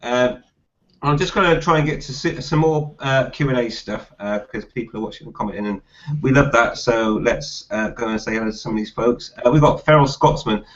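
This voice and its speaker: A man hosting a casual live group chat, bantering and reading out comments in a jokey way. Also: mid-range voice. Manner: jokey, quick to laugh. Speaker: host of a live group hangout. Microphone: group video call. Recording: dull lo-fi online call audio.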